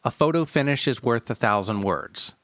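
A man reading scripted documentary-style narration in a formal, deadpan manner. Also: medium pitch, moderate pace, background noise. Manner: deadpan, formal delivery of absurd history. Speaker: narrator and writer of a story podcast.

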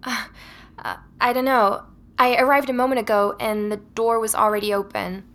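A woman's voice: fairly high pitch, level tone, moderate pace, a bit bright. An actress playing a curious, polite woman investigator in a naturally spoken audio drama. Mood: nervous, apologetic. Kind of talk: audio drama, intimate narration and natural dialogue.

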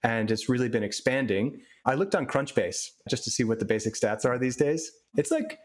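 A man's voice: mid-range voice, normal speed. A man hosting a podcast interview who asks his questions in an eager, friendly way. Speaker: podcast host. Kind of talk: conversational interview.

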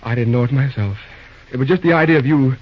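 An actor playing a glum, shyly lovesick young railroad boss in an old-time radio drama, speaking in a hesitant, folksy, husky way. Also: male voice, medium pitch, a little hiss or hum, lightly acted. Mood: shy, tender. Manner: hesitant, folksy, halting delivery. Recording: dull, lo-fi old radio recording.

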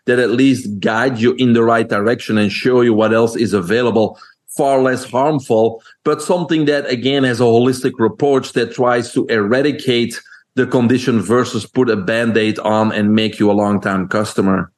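A man's voice: medium pitch, fairly even intonation, normal speed. A man from Belgium with a Belgian accent, a guest on a podcast interview, talking earnestly and fluently with frequent uh fillers.

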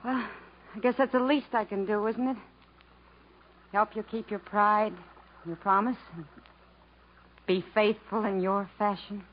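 A woman's voice: mid-range voice, fairly even intonation, unhurried, long pauses, lightly acted. An actress playing a worn-down, fatalistic woman on the run, wistful and wry, in 1950s radio drama style. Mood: wistful, resigned. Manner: wistful, wry, world-weary. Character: worn-down, bitter, fatalistic woman fleeing through the jungle. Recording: muffled, hissy 1950s radio broadcast.

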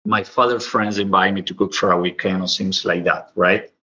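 A man in his forties with a lowish, bright, forceful voice and an Argentine Spanish accent. He tells stories informally and expressively in non-native English, like a chef being interviewed. The audio is slightly dull. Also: fairly even intonation, normal speed. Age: 40s. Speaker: professional chef, interview guest. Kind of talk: conversational interview storytelling.

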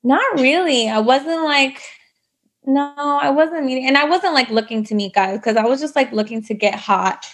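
A woman's voice: high-pitched, moderate pace, fairly bright tone, faint music behind. A woman telling her own story in a casual interview, chatty, full of likes and you knows. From United States. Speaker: guest.

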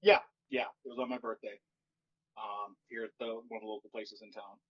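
A man who does stand-up comedy, talking with wry, deadpan storytelling in a fairly monotone voice. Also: lowish voice, brisk, slightly rough, muffled recording. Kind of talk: casual podcast chat.

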